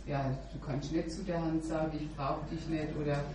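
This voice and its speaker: A woman's voice: deep voice, flat delivery, normal speed. A woman sharing earnestly at a church meeting, often adding an affirming "ja" as she speaks. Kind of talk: informal devotional sharing. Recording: echoey room mic from far off with background noise.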